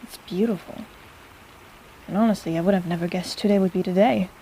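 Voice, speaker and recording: A woman's voice: on the low side, slight background noise, lightly acted, rough recording. A woman playing a caring, teasing, easily flustered apothecary shopkeeper who rambles in an intimate audio roleplay addressed to the listener. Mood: fond, teasing. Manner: chatty and rambling, halting, gently teasing.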